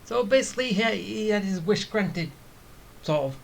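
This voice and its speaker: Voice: mid-range voice, expressive, moderate pace, creaky, very noisy. A gamer giving casual, muttered reactions while playing through a video game. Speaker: gaming streamer. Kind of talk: casual playthrough commentary. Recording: loud game music and crashes behind. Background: game battle sounds and music.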